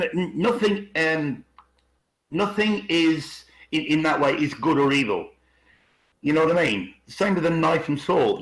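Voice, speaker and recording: A man's voice: a little high, a bit bright. A man hosting a rambling conspiracy talk, reading bits aloud and repeating words as he halts and checks for agreement. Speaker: podcast host. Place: online video call. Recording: roomy, echoey video call.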